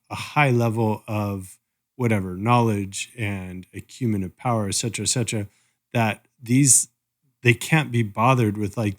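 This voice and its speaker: A man with a fairly low voice chats as a podcast co-host. He muses aloud, halting and picking his way through each thought. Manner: musing, halting, thinking aloud. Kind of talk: casual review chat.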